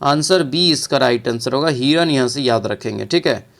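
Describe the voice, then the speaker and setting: A man's voice: medium pitch, animated, normal speed, gravelly. A man teaching an exam prep lesson, reading out quiz questions and answers and repeating them for emphasis.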